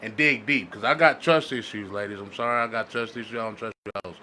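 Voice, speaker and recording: A man's voice: lowish voice, fast, crowd noise. An American man co-hosting a podcast, chatting casually in slang and often checking that he is followed. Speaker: podcast co-host. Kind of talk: casual podcast chat and storytelling. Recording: clearly noisy background.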